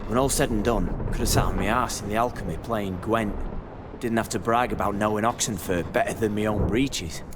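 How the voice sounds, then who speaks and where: A man playing a cheeky British crook from a fantasy game, his voice on the low side. He rattles on in wry, colloquial style as loud ambient noise rumbles behind him. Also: a little fast, close to an everyday voice. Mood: wry, easygoing. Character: chatty, cheeky petty thief and fence, captive man. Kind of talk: video game cutscene dialogue.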